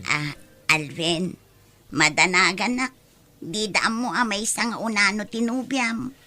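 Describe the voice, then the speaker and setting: A woman's voice: medium pitch, slow pace, sharp tone. A woman acting a shy, polite and hesitant young girlfriend in an Ilocano radio drama. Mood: shy, worried.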